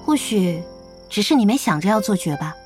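A woman's voice: medium pitch, animated, brisk, a little mellow, faint music behind, close to an everyday voice. A woman playing a cool, frank young gamer in an audio drama, speaking in a matter-of-fact, inquisitive way. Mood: probing, composed. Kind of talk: Chinese audio drama acting.

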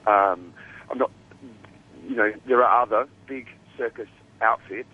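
A man's voice: on the low side, a little slow, breathy edge, phone-quality sound. A man with an Australian accent, a guest producer talking casually in an interview, rambling with many restarts and fillers.

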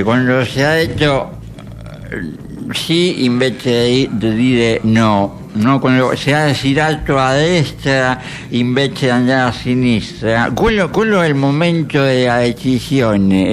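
An actor playing a solemnly absurd, absent-minded philosopher musing haltingly on the radio. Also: male voice, mid-range voice. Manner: halting, musing, seeks agreement. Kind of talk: mock-philosophical radio monologue.

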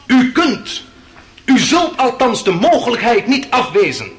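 A man's voice: extremely high pitch, very animated, unhurried, exaggerated voice. Passionate, wide-swinging male defence lawyer. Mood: persuasive, emphatic. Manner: rhetorical, emphatic, persuasive. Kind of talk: theatrical radio play courtroom oratory.

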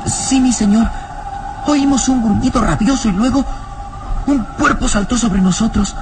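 A woman's voice: fairly high pitch, normal speed, background noise, close to an everyday voice. A woman acting a loyal, wary young boy companion in an old radio serial, earnest and deferential.

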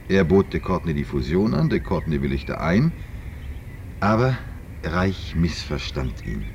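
An actor playing an authoritative investigator in a science-fiction radio play, explaining and questioning in a measured, insistent way. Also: male voice, low-pitched, a little mellow. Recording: dull, slightly noisy 1970s radio recording.

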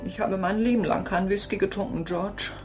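A woman's voice: mid-range voice, steady tone, brisk, music in the background, close to an everyday voice. A woman playing an anxious, God-fearing wife in a German radio play, earnest and hesitant with guilt. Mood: prim. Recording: faint background noise.

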